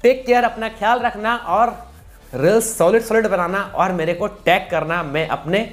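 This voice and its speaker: A man's voice: sits high, normal speed, soft background music. A man, giving a casual, repetitive step-by-step tutorial. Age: 20s. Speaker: tutorial host. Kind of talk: casual video-editing tutorial.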